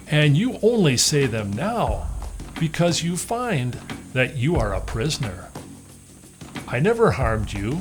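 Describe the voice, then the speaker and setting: A man voicing a clever, mischievous male monkey in a storybook, taunting and wary. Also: mid-range voice. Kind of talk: storybook character voice.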